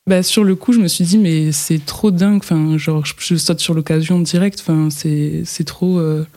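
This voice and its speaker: Female model, interview guest, mellow low-toned voice, hesitant delivery with lots of fillers. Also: steady tone, normal speed. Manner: hesitant, full of fillers and restarts. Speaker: fashion model. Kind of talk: casual interview chat.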